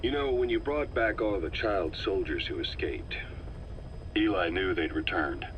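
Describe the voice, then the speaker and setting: An actor playing a stern, hard-line military intelligence officer in a video game, measured, authoritative and accusing. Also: male voice, deep voice. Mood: grave, measured. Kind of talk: video game audio drama acting. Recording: dull, hissy cassette tape recording.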